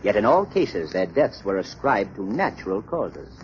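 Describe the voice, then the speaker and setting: An actor playing a shrewd, commanding gentleman sleuth in a 1930s radio drama, with a dramatic, authoritative delivery. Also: fairly low pitch, sing-song, moderate pace. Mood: probing, confident. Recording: muffled, noisy 1930s radio recording.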